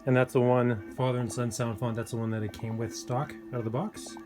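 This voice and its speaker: A man giving a casual hands-on gadget review, speaking informally and hesitantly with pauses mid-thought. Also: medium pitch, flat delivery, loud music behind the voice. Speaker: product reviewer. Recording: clear background noise with clicks.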